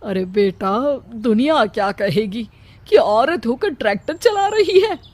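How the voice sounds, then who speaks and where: An older village widow voiced by a woman from a cartoon story, anxious and motherly. She talks slowly in a fairly high voice. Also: expressive, noisy background. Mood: concerned. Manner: worried, maternal.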